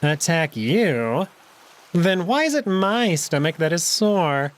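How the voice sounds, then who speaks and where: A man's voice: on the high side, big pitch swings, slow pace, pitched above the performer's own voice. A man voicing a big, smug male jackal in a visual novel, amused, taunting and fully in control. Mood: mocking.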